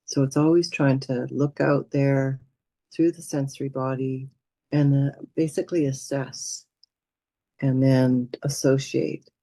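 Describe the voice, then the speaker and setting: A woman guides a meditation in a soft, mellow voice with little edge to it. Her delivery is gentle and soothing, leaving space between short phrases. The sound is dry and close, with no room echo. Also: a bass voice. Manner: gentle, soothing, unhurried with pauses. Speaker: meditation teacher.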